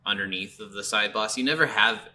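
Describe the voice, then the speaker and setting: A man's voice: lowish voice, a little fast, bright, forceful tone. A man co-hosting a podcast in a casual chat reviewing video games, with noise in the recording. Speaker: podcast co-host. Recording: clearly audible background noise.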